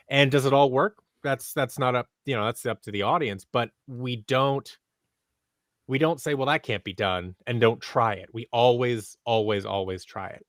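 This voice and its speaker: A man in his thirties talks as a guest on a casual show. His voice sits at a middle pitch, and he slips into little acted-out lines as he explains. It is recorded close and dry. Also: no echo. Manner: animated, acts out imagined dialogue. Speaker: guest, audio drama creator. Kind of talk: casual interview talk. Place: small office, indoors, on a video call. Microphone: close boom-arm microphone, headphones.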